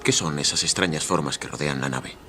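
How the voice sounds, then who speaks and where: A man's voice: extremely low pitch, fairly quick, music in the background. An actor playing an earnest, adventurous man on an expedition, dramatic in a radio play. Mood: awed. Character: awestruck adventurous man, determined expedition member telling his story. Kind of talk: radio drama acting and first-person narration.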